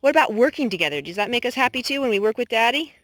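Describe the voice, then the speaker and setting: A woman's voice: on the high side, expressive, very fast, a bit bright, no room sound, studio quality. A woman doing a storytime for young children, speaking and singing expressively as a mother does to small kids. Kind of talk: sing-song children's storytime with read-aloud and songs.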